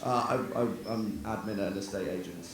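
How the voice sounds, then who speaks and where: A man doing a stand-up set at a comedy night, talking in a chatty, self-deprecating way with quick asides. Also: low voice. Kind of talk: stand-up set, then casual chat. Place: comedy club stage. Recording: very echoey venue with background noise.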